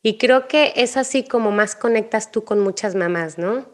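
A woman's voice: a little high, normal speed. A woman hosting a conversational interview, speaking in an affirming, emphatic way. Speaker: podcast host, interviewer.

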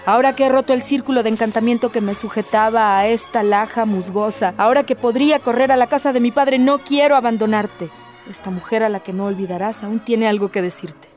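A woman's voice: on the high side, fairly quick, a little mellow, soft background music, natural voice. An actress playing an impassioned, devout woman who pleads earnestly, with theatrical, declamatory delivery in a radio drama. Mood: earnest, tender pleading.

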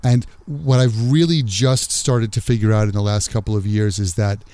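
A man's voice: on the low side, fairly even intonation, moderate pace, warm tone. A man, a longtime teacher, hosting a casual interview, asking questions and giving many affirmations, often repeating his words. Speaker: host, teacher. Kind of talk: interview conversation.

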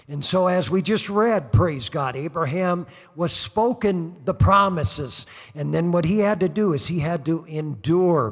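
A man's voice: high voice, big-room sound. A man in his sixties preaching a sermon at a church service, his lines dotted with "praise God" as he rises into fervent exhortation. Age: 60s. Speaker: pastor.

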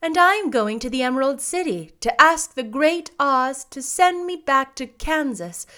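A woman puts on a kind little storybook girl. She speaks in a lifted, high voice, polite and earnest, full of innocent curiosity. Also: expressive, moderate pace, pushed and bright.

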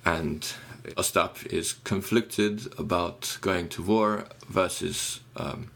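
A man's voice: low voice, steady tone, slow, creaky, fairly bright tone. A young man from Ukraine with a Ukrainian accent, a musician guest chatting on a podcast, rambling and correcting himself with wry humor. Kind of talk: casual podcast conversation.

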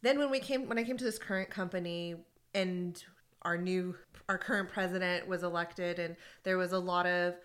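Lively woman telling stories with laughs. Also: lowish voice. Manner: animated storytelling with frequent laughs. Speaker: podcast co-host. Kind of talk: casual conversation about workplace groups.

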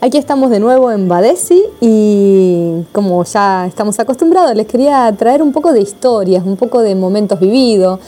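A woman's voice: mid-range voice, lively intonation, moderate pace, hardly any pauses, dark tone. A woman from Argentina with an Argentine accent, a massage therapist telling reflective personal stories to her viewers. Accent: Argentine Spanish. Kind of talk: personal storytelling vlog.

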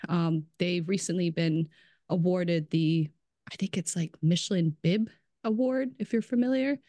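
A woman's voice: lowish voice, a little slow, mellow, dry recording. Woman, casual podcast chat, lots of filler "like", laughs easily, dry close recording. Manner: chatty, fills speech with "like", laughs often. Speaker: brand strategist guest.

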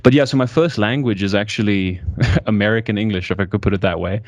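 A man's voice: lowish voice, fast, no echo. A man in his twenties from South Africa, speaking thoughtfully as an interview guest and often correcting himself mid-sentence. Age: 20s. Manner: reflective, thoughtful, with frequent self-corrections. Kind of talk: casual conversational interview.

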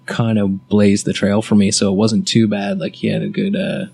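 A young man from Canada with a low, gravelly voice talks in a relaxed, halting way, leaving many fillers. He is the guest in a casual chat. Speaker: interview guest.

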